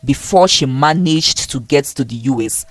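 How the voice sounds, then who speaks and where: A woman's voice: extremely low pitch, moderate pace, no echo. A woman telling a scripted folktale as a dramatic storyteller.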